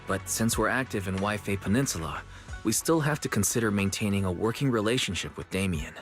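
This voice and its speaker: An actor playing an earnest, level-headed young disciple in a video game, explaining things in a measured way. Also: male voice, on the low side, expressive, faint music behind, lightly acted. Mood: serious, informative.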